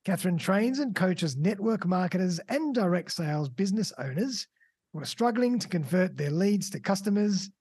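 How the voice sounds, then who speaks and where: A woman hosting a casual podcast interview, upbeat and quick with encouraging interjections. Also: lowish voice, animated, dark tone, slightly rough, no echo, clean studio sound. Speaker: podcast host.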